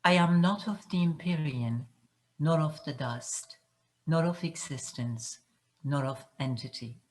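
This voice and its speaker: Older lady, reading poems out loud super slow and solemn, phrase by phrase. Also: low voice. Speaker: reader of translated poetry. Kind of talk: solemn poetry recitation in measured phrases.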